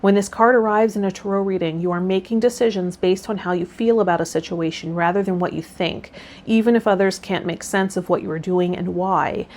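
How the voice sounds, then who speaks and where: A woman hosting a tarot vlog, reading card meanings and then talking loosely and gently about the week ahead. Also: mid-range voice, brisk. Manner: loose and rambling with fillers when unscripted. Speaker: tarot vlogger.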